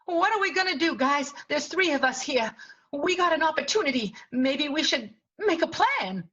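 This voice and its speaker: Plucky young vaudeville gal, played by a woman: piercing high, bright, radio-play style, slightly muffled recording. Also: expressive, normal speed. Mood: urgent. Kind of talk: improvised radio soap opera acting. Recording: slightly dull lo-fi home audio.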